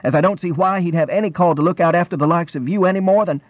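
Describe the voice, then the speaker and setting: A man's voice: sits high, fast talker, pitched above the performer's own voice, close to an everyday voice. A man voicing a small, angry, worried country wife who scolds in an exasperated rustic dialect. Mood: angry scolding. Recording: roomy, dull lo-fi reading.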